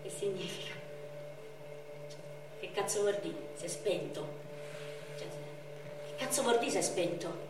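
An actress playing an anguished, grieving woman in a raw theatrical monologue, halting and repetitive, with a Roman accent. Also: on the low side, expressive, heavy background noise. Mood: stunned, bitter. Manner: repetitive, halting, swearing, insistent. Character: anguished woman raging and grieving over a young man's death. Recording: very echoey, distant stage recording with music.

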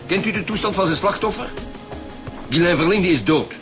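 An actor playing a gruff, commanding chief detective in a radio drama, with a Flemish accent and a curt, authoritative way of talking. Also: male voice, sits high, lively intonation, moderate pace, music in the background. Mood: stern, insistent.

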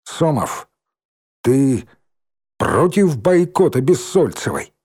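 A man's voice: mid-range voice, sing-song, takes it slowly, darkish tone, husky, full character voice. A man voicing a bossy, merciless schoolgirl ringleader in an audiobook, with a curt, cold, commanding delivery.

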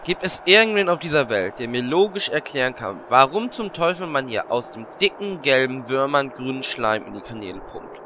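A man's voice: medium pitch, big pitch swings, normal speed, hardly any pauses, bright, forceful tone. A man playing a whiny, lewd, quarrelsome hero in a fantasy parody audio drama, prone to exaggerated complaining. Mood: disgusted, exasperated. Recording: background noise.

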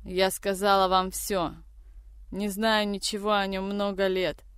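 A woman's voice: medium pitch, flat delivery, measured pace, clean tone, no room sound, clean studio sound, lightly acted. A woman voicing a terrified captive woman who desperately denies knowing anything. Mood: pleading. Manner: pleading, desperate denials.

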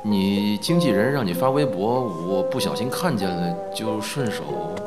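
Man acting proud, playful film star, lowish voice. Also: expressive, unhurried, over loud music. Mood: sheepish. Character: proud, playful male film star, secretly fond, easily awkward. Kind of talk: Chinese audio drama acting.

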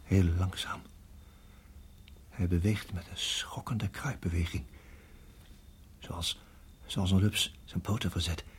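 An actor playing a tense husband in a radio drama, speaking in a hushed, halting, urgent way with a husky voice. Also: male voice, low voice, fairly even intonation, whispered. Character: tense husband guiding wife through deadly snake danger. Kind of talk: radio drama acting and narration.

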